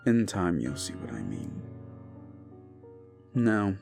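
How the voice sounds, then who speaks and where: A gravelly, menacing yandere prince. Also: male voice, low voice, sing-song, takes it slowly, heavily acted. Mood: commanding. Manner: soft-spoken menace, drifts into whispers. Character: unhinged possessive young prince, paranoid. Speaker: voice actor. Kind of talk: audio roleplay drama. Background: faint dark music.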